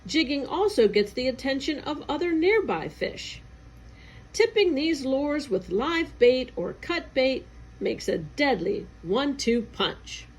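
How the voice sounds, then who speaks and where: A woman reading an educational newsletter aloud in careful, clearly separated phrases. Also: sits high, big pitch swings, a little slow. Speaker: agency staff reader.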